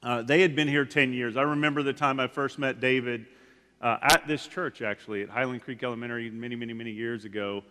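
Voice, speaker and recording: A man's voice: mid-range voice, steady tone, fast talker, sharp tone, clean tone. Rambling man speaking in a reverberant church. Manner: rambling, frequent "like" fillers and restarts. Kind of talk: casual sermon talk. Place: church sanctuary. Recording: long hall reverb.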